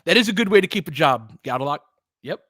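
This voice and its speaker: A man's voice: fairly high pitch, expressive, fast talker, sharp tone, slightly rough, no room sound, studio quality. An American man hosting a basketball livestream, talking in an informal, slangy way as he mixes fan chat with play-by-play commentary.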